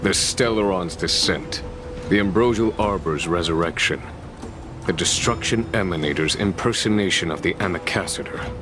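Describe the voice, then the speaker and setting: A man's voice: sits low, normal speed, over music, natural voice. A man voicing a composed, shrewd general in a video game, speaking formally with dry authority. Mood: stern, measured.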